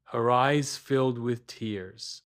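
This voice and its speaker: Casting: man to narrate kids' bedtime stories, unhurried, mid-range voice, expressive with dramatic pauses. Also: mid-range voice, clean tone. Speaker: storyteller, narrator. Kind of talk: scripted children's bedtime story narration.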